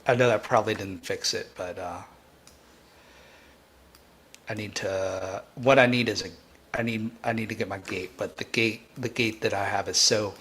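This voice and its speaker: A man hosting a live coding tutorial, thinking aloud in a halting, informal way and often restarting his sentences. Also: on the low side, fairly bright tone. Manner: halting, self-interrupting, informal. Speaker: livestream host, programming instructor.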